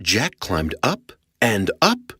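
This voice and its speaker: A woman's voice: a bass voice, wildly swooping pitch, slow pace, fairly bright tone, husky, no echo, studio quality. A woman narrating a children's story expressively as a storyteller.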